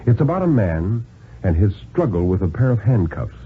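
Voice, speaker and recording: An American man giving a dramatic, expressive reading of a thriller story for 1950s radio. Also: on the low side, normal speed, a little hiss or hum. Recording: narrow 1950s radio, music behind.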